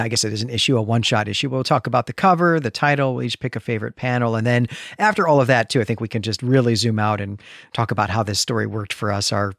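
A man's voice: mid-range voice, fairly bright tone, no room sound, clean studio sound. A man hosting a podcast, talking through his points in a thoughtful, explanatory, conversational way. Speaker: podcast host. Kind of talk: casual comic book discussion.

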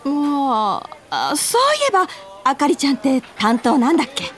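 A woman's voice: high voice, very animated, moderate pace. An actress playing a friendly, composed senior female office colleague in a Japanese radio drama, a little bashful and evasive. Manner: friendly casual senior speech, earnest when explaining. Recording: studio voice with music behind.

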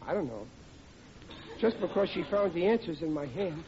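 A man's voice: high voice, expressive, moderate pace, a loud crowd. An actor in an old-time radio sitcom playing a hapless, self-pitying working-class family man who stammers and blusters in a flustered, plaintive way. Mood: sheepish. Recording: muffled, noisy vintage radio with audience.

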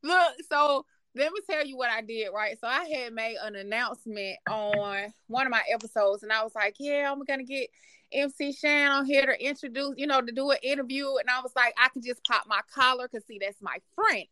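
High-voiced, effusive woman podcast host. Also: brisk, sharp tone, dry recording. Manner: effusive, informal, laughs easily. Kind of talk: casual podcast hosting and interview chat.

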